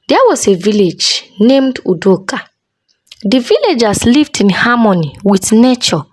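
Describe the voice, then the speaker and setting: A woman storyteller narrating a scripted folktale in a measured, dramatic way with deliberate pauses. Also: mid-range voice, darkish tone, dry recording, low-quality recording.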